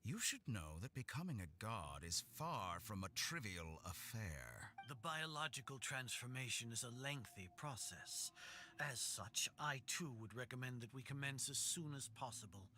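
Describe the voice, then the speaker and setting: An actor playing a sinister, condescending male scientist in a game cutscene, with slow, menacing politeness. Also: medium pitch, sing-song, bright, forceful tone. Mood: clinical. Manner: smooth, drawn-out, menacing politeness. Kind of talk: video game cutscene voice acting.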